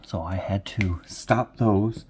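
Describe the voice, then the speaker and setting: A man's voice: lowish voice, takes it slowly, noisy background. A congested man chatting casually and rambling, repeating himself, at home at night. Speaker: craft vlogger. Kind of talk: casual chat to camera. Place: home room at night, lamp on.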